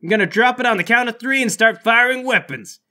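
A man's voice: extremely high pitch, a little fast, pushed and bright, voice pushed up, exaggerated voice. A man voicing a gung-ho cyborg cop in a tabletop game, eager and earnest in a dude-like way. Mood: confident.

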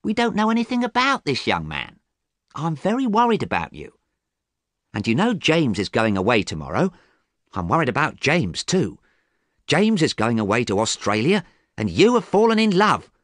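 A man's voice: on the high side, huge pitch swings, moderate pace, a bit bright, no room sound, clean studio sound, voice pushed up. A man voicing an anxious middle-aged mother in an audiobook, fretful and pleading about her grown children. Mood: worried, fretful. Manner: pleading, fretful, swooping intonation.

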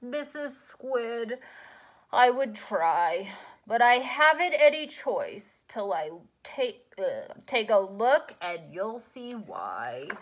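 A gloomy cartoon fish sulks through his lines, as a woman puts him on. The delivery is unhurried and mopey, dragging every word at a very slow crawl. The voice sits a little high for a grown woman. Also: heavily acted. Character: gloomy grumpy male pout-pout fish. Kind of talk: storybook read-aloud.

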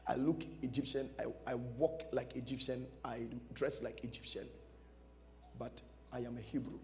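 A man's voice: mid-range voice, little pitch movement, moderate pace. A man, preaching a dramatic storytelling sermon emphatically, repeating short phrases. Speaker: pastor preaching. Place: large church stage before a seated audience. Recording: music behind, long hall reverb.

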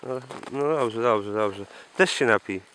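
A man's voice: medium pitch, slow pace, rough recording. A man narrating a trip casually to camera, with a wry, mock-formal manner. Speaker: expedition cyclist. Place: open outdoors on a frozen lake. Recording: outdoors with loud noise and clicks.

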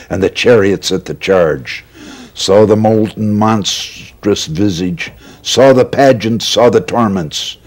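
An older man on a TV studio set, reading a poem in a slow, solemn way, his voice dropping low at the ends of lines. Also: darkish tone. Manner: measured, solemn recitation, voice dropping at line ends. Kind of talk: slow dramatic poetry reading. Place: TV studio with curtain backdrop.

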